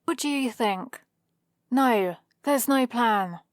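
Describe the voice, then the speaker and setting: A female supervillain played by a woman with a British accent and a fairly high voice. She speaks very slowly, hesitant and defensive, with a soft exasperation that betrays her care for the hero. It is an ASMR roleplay, recorded dry with no room sound. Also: no room sound.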